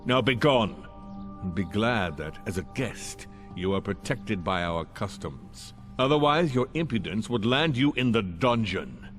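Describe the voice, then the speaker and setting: Haughty dwarf baron, formal, commanding, shrewd, very animated intonation, video game cutscene, background music. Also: male voice, fairly low pitch. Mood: haughty, dismissive. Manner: imperious, formal, deliberate.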